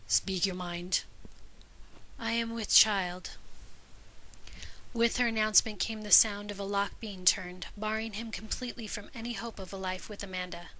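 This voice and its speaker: A woman's voice: medium pitch, pushed and bright, slight background noise, rough recording. A woman reading fiction aloud as a scripted story narration. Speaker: podfic reader.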